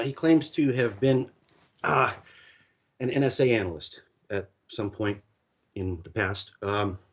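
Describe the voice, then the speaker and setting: A man telling a long personal story in casual conversation, rambling and full of fillers and restarts. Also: on the low side.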